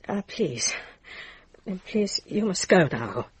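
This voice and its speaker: A woman's voice: fairly low pitch, very animated, slow, slightly rough, dry recording, full character voice. A woman playing a frail, weary, faltering lady in a radio mystery. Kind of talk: radio mystery drama acting.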